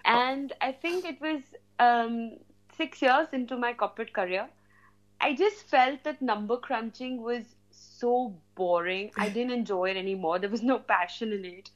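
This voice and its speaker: A woman, answering a radio interviewer's questions about her life. Her voice sits on the higher side, and she speaks in a chatty, loose way, with plenty of fillers and frequent laughter. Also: a little slow, muffled recording. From India. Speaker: guest, businesswoman. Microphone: phone line from India.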